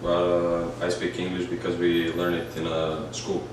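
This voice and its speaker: A young Serbian man in his twenties speaks English with a Serbian accent, relaxed and casual. His voice is very low. He sounds set back from the mic in a very echoey room, with audible room noise behind him. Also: measured pace, distant mic. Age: 20s. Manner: relaxed, plain-spoken, casual slang. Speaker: interview guest, student swimmer. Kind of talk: casual interview answers.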